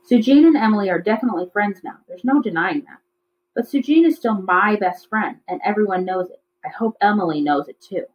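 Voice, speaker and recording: A woman's voice: mid-range voice, expressive, a little fast, lightly acted. A woman voicing an earnest, questioning young schoolgirl in a storybook. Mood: wary. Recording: slightly dull, lo-fi recording.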